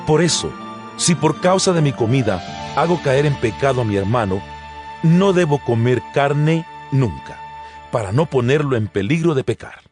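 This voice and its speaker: An actor playing an earnest apostle addressing his congregation in a measured, emphatic, didactic dramatized scripture reading. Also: mid-range voice, lively intonation, natural voice. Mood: earnest, instructive. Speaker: narrator of an audio Bible. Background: background music.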